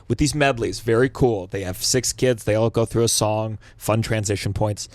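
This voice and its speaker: A man hosting a podcast, chatting casually and comedically in an emphatic, animated way, with some swearing. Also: fairly low pitch, normal speed, no echo.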